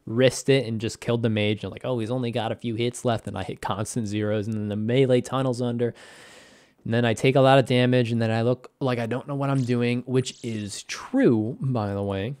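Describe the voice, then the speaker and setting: A young man, slightly hoarse, rambling through casual game commentary with self-deprecating asides and frequent laughs. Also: medium pitch, fairly even intonation, fairly quick, a little mellow, no echo, clean studio sound. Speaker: gaming commentator.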